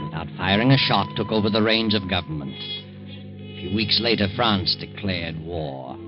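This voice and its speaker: A man's voice: sits low, expressive, moderate pace. A man performing as the grave, dramatic narrator of an old-time radio drama, on a dull vintage recording. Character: grave dramatic male storyteller. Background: loud dramatic music.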